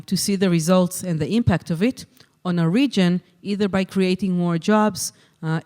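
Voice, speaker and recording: Clear-voiced conference convener. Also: mid-range voice, warm tone. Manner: measured, with frequent um and uh. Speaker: session convener. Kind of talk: conference moderation and answers. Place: conference hall.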